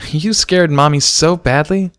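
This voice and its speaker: A man's voice: fairly high pitch, unhurried, fairly bright tone, smooth, no echo, studio quality, voice pushed up. Guy playing a pony mom in a story, raised voice, stern but caring and motherly. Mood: motherly, pleading.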